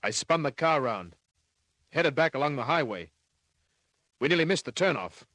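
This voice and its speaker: An Australian actor playing a tough, wisecracking private detective in an old-time radio crime drama, narrating in terse, clipped slang with a rough edge. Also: male voice, on the high side, lively intonation, normal speed, fairly bright tone, no echo. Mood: urgent, excited.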